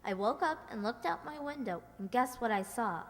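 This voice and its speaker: A woman's voice: on the high side, animated, moderate pace. A woman playing an eager, gossipy young kid sister in a radio comedy, telling tales in an earnest, confiding way. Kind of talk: 1940s radio comedy acting. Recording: echoey hall stage recording.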